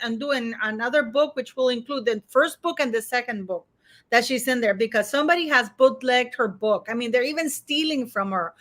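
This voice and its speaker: A woman with a Montenegrin accent hosting a live stream, chatty and excitable, with lots of fillers and restarts. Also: high-pitched. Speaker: host. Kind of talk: casual live-stream chat.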